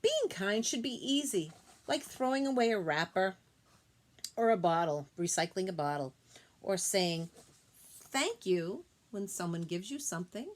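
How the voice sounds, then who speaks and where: A woman reads a picture book to young children with a very lively, swooping delivery. Her pitch is middling, and she slips into simple, gentle explanatory asides as a teacher would. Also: a little slow.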